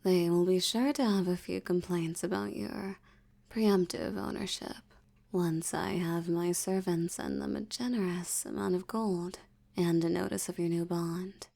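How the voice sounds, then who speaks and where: A dark, mellow female vampire played by a woman, possessive and seductive. She speaks very slowly in a breathy near-whisper with some gravel in it, teasing and condescending as she gives orders in an intimate roleplay. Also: lowish voice, steady tone. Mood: smug, possessive. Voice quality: breathy, often dropping toward a whisper. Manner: slow, teasing, commanding, condescending. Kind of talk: intimate roleplay audio acting.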